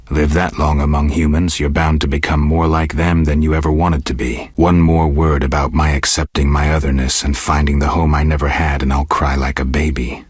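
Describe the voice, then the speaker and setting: An actor playing a gruff, cynical mutant monster hunter in a video game, a gravelly, husky voice and a terse, deadpan delivery. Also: male voice, a bass voice, no room sound, clean studio sound. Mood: dry, sardonic. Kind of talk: video game character dialogue.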